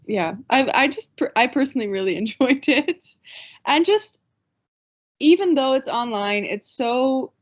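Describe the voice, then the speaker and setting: Earnest woman, mid pitch, lo-fi audio. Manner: earnest, repeating phrases. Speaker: panel guest, pantomime board member. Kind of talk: casual interview answer. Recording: dull, lo-fi audio.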